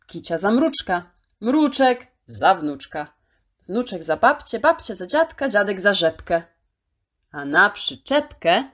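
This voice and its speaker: A woman storyteller reciting a children's poem in an expressive, theatrical way, drawing out key words. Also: medium pitch.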